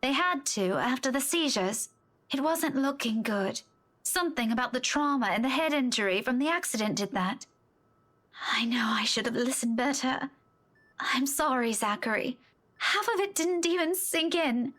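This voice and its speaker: An actress playing a weary, distraught young woman in a visual novel, speaking in a halting, emotional way. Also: sits high, lively intonation, a bit bright. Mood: anxious, defeated, then grieving, apologetic. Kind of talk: visual novel voice acting, dramatic.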